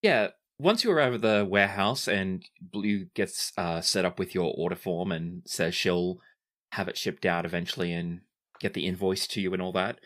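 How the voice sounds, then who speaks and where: A man's voice: low voice, moderate pace, a bit bright. A man narrating a tabletop game as the game master.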